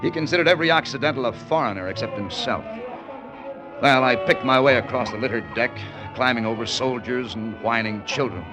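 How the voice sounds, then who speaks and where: An actor playing a wry, world-weary American newspaper correspondent in a 1940s-style radio drama, with a casual hard-boiled manner. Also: male voice, medium pitch, a little fast, close to an everyday voice, poor audio. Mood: sardonic narrating. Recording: old radio recording, music behind.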